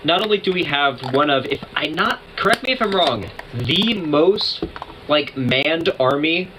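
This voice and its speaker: A man co-hosts a casual reaction commentary, working through a point step by step and often stopping to restart. Clear background noise and clicks run under his voice. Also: on the high side, expressive, unhurried. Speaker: co-host reacting to a video.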